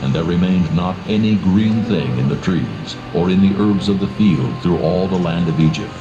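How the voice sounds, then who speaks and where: Very low-voiced man narrating scripture solemnly, slightly muffled, music behind. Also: lively intonation, moderate pace. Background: prominent background music.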